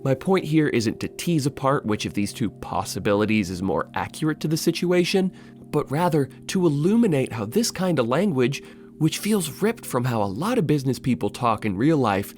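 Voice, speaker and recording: A man's voice: mid-range voice, darkish tone. A man narrating a scripted video essay as its host, in a wry, sardonic, casually sweary way.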